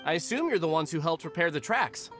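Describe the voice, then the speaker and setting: A man's voice: on the high side, lively intonation, fast, a bit bright, soft background music. An actor playing an earnest, testy young male caretaker in a video game, fussy and articulate, with faint noise behind. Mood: earnest, polite.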